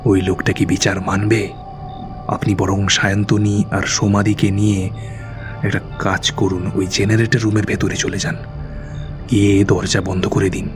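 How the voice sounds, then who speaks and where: A man acting a low, cold schemer. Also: level tone, normal speed, natural voice. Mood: quietly manipulative. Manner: controlled, insinuating. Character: cold, scheming male avenger manipulating his victims. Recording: music behind the voice.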